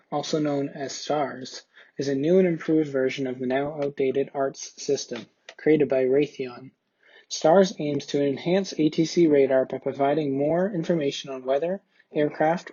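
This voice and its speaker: A busy air traffic controller, played by a young man in an amateur comedy skit. His voice is mellow and a little husky, pitched slightly high for a man, and the sound is slightly dull. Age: young adult. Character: busy, impatient air traffic controller who explains things.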